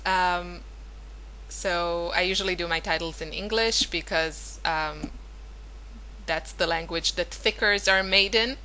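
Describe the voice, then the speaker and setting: A woman's voice: on the low side, slow, pushed and bright, low-quality recording. A woman whose first language is Hebrew, chatting loosely through a scrapbooking voice-over, full of fillers and self-corrections. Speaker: scrapbooking vlogger. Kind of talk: casual voice-over narration of a craft process.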